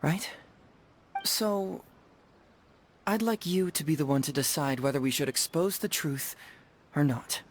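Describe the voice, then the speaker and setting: A man voicing a clever young detective in a video game, smooth and playful with some quiet self-doubt, phrasing his words with care. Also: fairly high pitch, a little slow, pauses often. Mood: confiding, coy.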